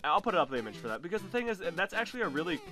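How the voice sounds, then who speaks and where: A young man co-hosting a casual video game chat, talking quickly with lots of restarts. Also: over music. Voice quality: deep bass voice. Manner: fast, animated, stumbling restarts. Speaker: co-host.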